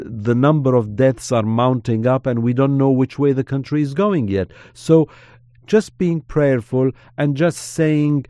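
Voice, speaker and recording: Casting: native Armenian speaker, male commentator, warm and dark tone, dry close sound, steady preacherly delivery. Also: medium pitch, dry recording. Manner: measured, earnest, preacherly cadence. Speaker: podcast commentator on Middle East affairs. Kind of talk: podcast discussion.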